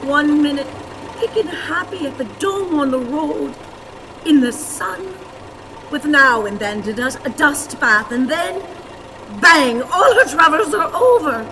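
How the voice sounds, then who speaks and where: Radio play old woman: high, slow, fussy and self-pitying, exclaiming theatrically in a big echoing space. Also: animated. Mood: dismayed, lamenting. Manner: theatrical, exclamatory, wailing and lamenting. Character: stout, cantankerous, melodramatic old woman, stiff and self-pitying. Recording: reverberant hall, audience noise.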